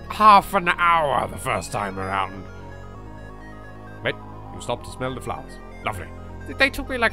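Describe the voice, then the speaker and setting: Animated British man, gameplay commentary. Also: medium pitch, creaky. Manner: excitable, self-deprecating, repeats himself. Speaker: let's play host. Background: game music.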